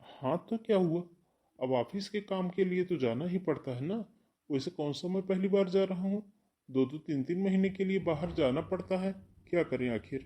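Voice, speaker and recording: A man's voice: high-pitched, moderate pace, dark tone. A man playing a caring, busy elder brother and husband in a Hindi cartoon moral story. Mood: calm, reassuring.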